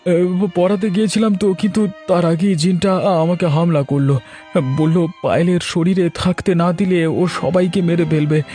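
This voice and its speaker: A man's voice: sits high, steady tone, a little fast, warm tone, faint music behind, close to an everyday voice. A man voicing a timid, anxious village father in a cartoon, hesitant and stammering. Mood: nervous. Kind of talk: Bengali cartoon voice acting.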